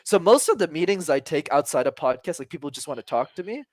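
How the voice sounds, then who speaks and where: A man in his twenties, a communication coach talking as a podcast guest, energetic and emphatic, casual with some swearing. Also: fairly high pitch, fast talker, no room sound, studio quality. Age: 20s.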